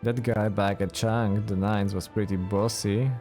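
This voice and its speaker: A man giving casual let's play commentary, laid-back and halting. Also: lowish voice, flat delivery, a little mellow. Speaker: gaming streamer. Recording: faint game music behind the voice.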